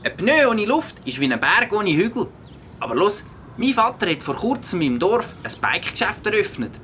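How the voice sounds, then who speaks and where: A woman playing an eager, helpful young boy with a slightly raspy voice and a Swiss German accent in a children's audio play. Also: mid-range voice, sing-song, moderate pace, slight background noise. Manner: bright, eager.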